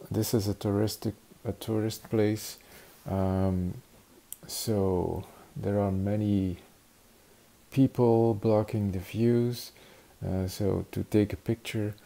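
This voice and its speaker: A man in his forties from Flanders with a Flemish Dutch accent, teaching watercolor in halting English full of "uh" fillers, speaking into a webcam in an attic studio. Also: lowish voice, vocal fry, dark tone. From Belgium (Flanders). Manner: halting, many "uh" fillers, searching for words. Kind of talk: hesitant tutorial narration. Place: attic studio with wooden beams.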